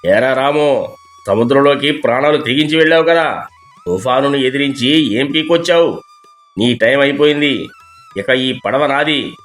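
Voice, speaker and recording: A man playing a cruel village president and moneylender, taunting and commanding, in an animated moral story. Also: mid-range voice, moderate pace, pauses often, faint music behind, close to an everyday voice. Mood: mocking, gloating.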